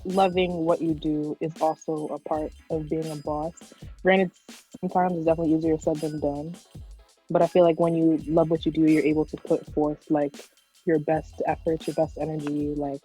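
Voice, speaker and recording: Husky-voiced young woman, low and relaxed. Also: moderate pace, soft background music, low-quality recording. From Long Island, New York. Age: 20s. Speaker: podcast co-host. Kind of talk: casual group podcast chat.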